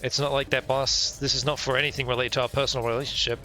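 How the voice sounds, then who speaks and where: A man's voice: medium pitch, level tone, fast, pushed and bright, close to an everyday voice. A man voicing an earnest, insistent police lieutenant from a visual novel, music behind him. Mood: apologetic. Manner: deferential, insistent. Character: earnest, apologetic police lieutenant pressing the boss.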